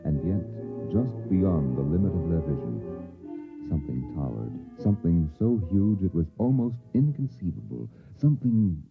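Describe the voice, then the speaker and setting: An actor narrating a 1950s American radio drama as a grave, suspenseful storyteller. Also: male voice, deep voice, animated, moderate pace, vocal fry. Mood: awed suspense. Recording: tinny old radio recording with music.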